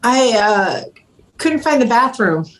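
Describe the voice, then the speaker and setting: A woman's voice: fairly high pitch, expressive, slow pace. A woman playing a sardonic, easily flustered undercover agent at a screenplay table read. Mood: tense.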